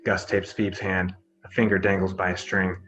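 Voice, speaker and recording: A man's voice: deep voice, completely flat delivery, normal speed, fairly bright tone, dull audio, faint music behind. A man reading out scripted stage directions as narrator of a drama table read.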